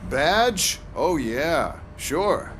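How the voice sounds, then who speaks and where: An actor playing a manipulative, smug police boss in a video game, with a sardonic, condescending manner. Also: male voice, on the high side, very animated, extremely slow, a bit bright, a little hiss or hum, heavily acted. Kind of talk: scripted video game acting.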